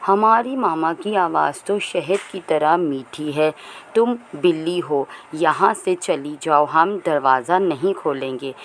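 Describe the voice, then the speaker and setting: Woman as an earnest little baby bird, slow-paced. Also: deep voice, slight background noise. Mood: wary. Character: small trusting baby bird questioning its mother.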